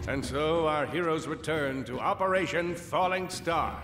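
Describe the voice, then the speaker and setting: An actor playing a storytelling narrator who delivers scripted, grand narration of a heroic video game adventure. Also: male voice, a little high, a little slow, background noise, close to an everyday voice. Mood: dramatic. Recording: reverberant, music behind voice.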